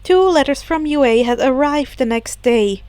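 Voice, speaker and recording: A woman narrating fiction as a podfic reader, in an expressive storytelling style. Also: sits high, normal speed, no echo.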